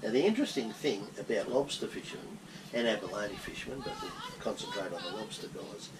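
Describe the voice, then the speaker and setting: A man's voice: fairly low pitch, sing-song, brisk, a crowd in the background. A man in his sixties with an Australian accent, explaining a harbour and its fishing in an easygoing way to passengers on a tour boat. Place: open boat in a harbour outdoors. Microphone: action camera from a distance. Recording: distant mic, loud engine and wind noise.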